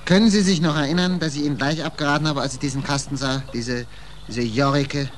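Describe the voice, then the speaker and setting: An actor playing an astonished, fussy port acquaintance in a 1940s German radio play, exclaiming and repeating himself. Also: male voice, a little high, fairly even intonation, fast, close to an everyday voice. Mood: excitable, concerned. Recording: faintly noisy vintage radio recording.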